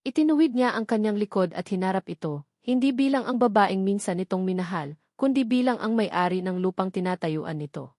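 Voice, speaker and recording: Casting: female storyteller, mid-pitched, for dramatic scripted fiction, close dry sound. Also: lively intonation, fairly quick, darkish tone, no room sound.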